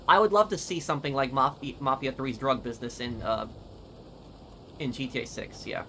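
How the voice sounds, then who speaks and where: An American man hosting a gaming channel, casually answering viewer comments in a relaxed, matter-of-fact way. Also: medium pitch, moderate pace, a little hiss or hum.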